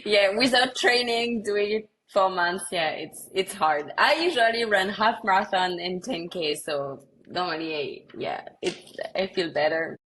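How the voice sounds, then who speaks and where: A woman co-founder chatting casually on a podcast, friendly and talkative, sometimes trailing off quietly. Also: medium pitch, a little slow, hardly any pauses, low-quality recording. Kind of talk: casual chat.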